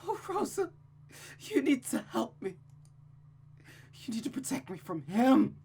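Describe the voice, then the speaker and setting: A woman's voice: fairly high pitch, sing-song, unhurried, gravelly, dark tone, slightly rough, voice pushed up, heavily acted. A woman voicing a frantic, unhinged and terrified young woman from a visual novel, with a pleading manner.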